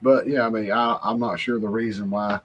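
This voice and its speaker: A man's voice: lowish voice, fairly even intonation, a little fast, a bit bright, highs cut off. A man co-hosting a casual livestream, talking in a laid-back, folksy way with short interjections. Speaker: livestream co-host. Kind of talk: casual chat.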